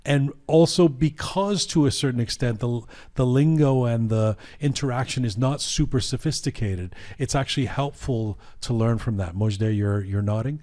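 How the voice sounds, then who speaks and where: A man hosting a panel podcast, with a voice of ordinary middle pitch. He talks in a reflective, halting way and keeps restarting his sentences as he works through an idea. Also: mellow. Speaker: podcast host. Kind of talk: panel discussion.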